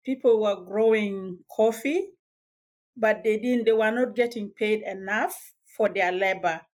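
A woman from Sudan, telling her life story as a guest in a podcast interview, measured and reflective with small hesitations. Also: medium pitch, darkish tone. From South Sudan. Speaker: guest author.

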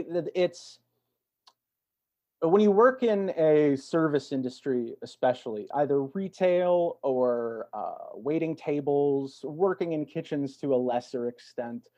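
A man's voice: on the high side, mellow, muffled recording. A man talking casually as a podcast guest, explaining things in a thoughtful, conversational way.

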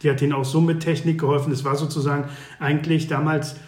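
A man with a slightly high voice chats casually as a podcast co-host in a very echoey room. He reminisces in a halting way, searching for words and tagging on little questions for confirmation. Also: level tone.

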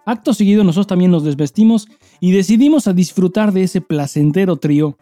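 A man's voice: high voice, animated, fairly quick, mellow, no echo, soft background music. A man with a Mexican accent, a podcast co-host who speaks in a slangy, sweary style. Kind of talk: casual podcast chat; dramatized reading of a listener email over music.

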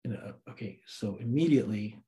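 A man's voice: lowish voice, brisk. A man with an American accent telling a personal story as an interview guest in a casual, informal way, acting out quoted dialogue. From United States, grew up in Santa Cruz, California. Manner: informal, animated retelling with acted-out quotes. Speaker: interview guest, works in film.

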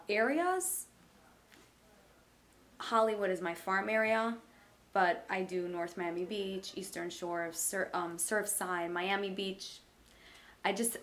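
A woman, a realtor talking in a relaxed, chatty, easygoing way. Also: medium pitch. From Brooklyn, New York. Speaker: realtor guest. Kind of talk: casual interview chat.

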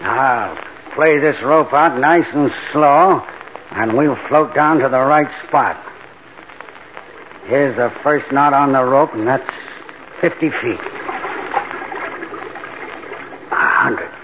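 A man's voice: medium pitch, takes it slowly, long pauses, fairly bright tone. A man acting a confident, scheming tough crook who gives instructions. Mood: brisk, directing. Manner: assured, instructing, tough-guy slangy. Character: Marty, confident scheming bank-job mastermind, tough crook. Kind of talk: 1940s radio crime drama acting. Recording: muffled old-time radio recording, background hiss.

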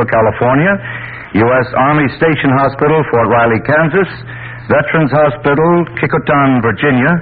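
A man with a husky voice reads a 1940s radio commercial in an upbeat announcer style. The sound is thin and tinny like an old broadcast. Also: medium pitch.

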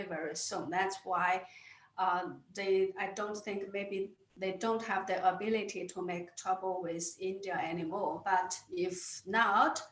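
A woman's voice: medium pitch, reverberant, rough recording. A woman from China with a Chinese accent, answering interview questions earnestly, often restarting and repeating words. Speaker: guest.

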